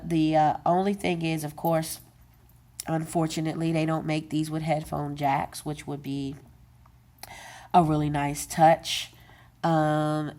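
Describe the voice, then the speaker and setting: A woman with a low voice explains a device step by step. She takes her time and talks off the cuff, with fillers and quick corrections. It sounds like a casual home tutorial. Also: darkish tone. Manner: unscripted, with many fillers and self-corrections. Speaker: tech video presenter. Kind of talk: casual how-to demonstration.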